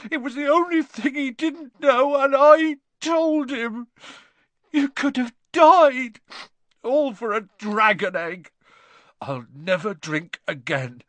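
A man voicing a huge, soft-hearted gamekeeper in an audiobook, gruff and rustic in manner, blurting words through tears of remorse. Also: extremely high pitch, slow pace, dry recording, pitched above the performer's own voice, full character voice. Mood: sobbing, remorseful. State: crying.

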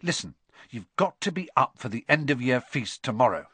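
A man's voice: mid-range voice, very animated, moderate pace, a bit bright, husky, dry recording. A man voicing a proud, chatty schoolboy in an audiobook, speaking in a casual, matter-of-fact way.

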